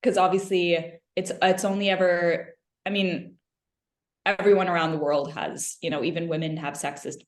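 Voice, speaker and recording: A woman's voice: lowish voice, steady tone, normal speed. A woman from Lebanon, a film director answering questions in a conversational interview. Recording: roomy, echoing interview sound.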